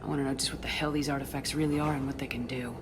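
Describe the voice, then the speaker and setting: An actress playing a tough, no-nonsense space military commander in a video game, speaking in a blunt, commanding way. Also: female voice, rumbling low, steady tone, rapid-fire, noisy background. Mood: firm, commanding.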